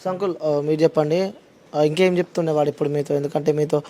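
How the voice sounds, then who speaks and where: A man's voice: on the high side, fairly even intonation, fast talker, dark tone. A young man asking conversational interview questions, mixing in English words. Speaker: interviewer, reporter.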